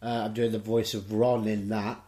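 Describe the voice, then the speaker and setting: A man talking casually in an interview about his work, with a halting, hesitant manner. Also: on the low side, little pitch movement, dark tone. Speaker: voice actor, cast member.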